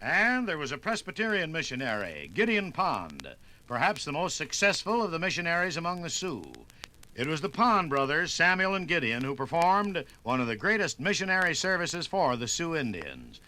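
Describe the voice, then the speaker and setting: Highish, animated male radio narrator. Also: normal speed, slight background noise, rough recording. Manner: dramatic, oratorical phrasing. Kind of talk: 1950s radio documentary narration, scripted. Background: music.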